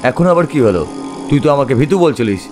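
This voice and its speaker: A man voicing a young village man, a familiar friend, in brisk questioning dialogue for an audio horror story. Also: mid-range voice, lively intonation, darkish tone, soft background music, close to an everyday voice. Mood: teasing, questioning. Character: young village man cycling at night, teasing, caring friend.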